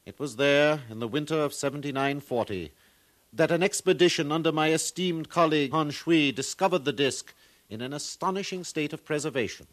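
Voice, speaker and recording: An actor playing a learned, proud museum curator with formal, professorial speech in a 1950s radio drama. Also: male voice, fairly high pitch, fairly quick, fairly bright tone, lightly acted. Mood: scholarly, pleased.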